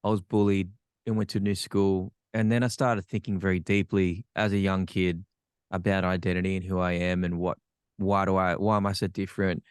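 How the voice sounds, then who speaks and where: A man's voice: low-pitched, flat delivery, a little fast, smooth, no echo, clean studio sound. An Australian man, a podcast guest, telling his life story frankly with self-deprecating humor. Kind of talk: candid personal storytelling.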